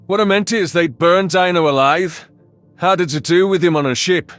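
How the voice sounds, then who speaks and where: Sci-fi story creature played by a man: high-pitched male marsupial alien, blunt, bitter, grumbling. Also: moderate pace, fairly bright tone, faint music behind.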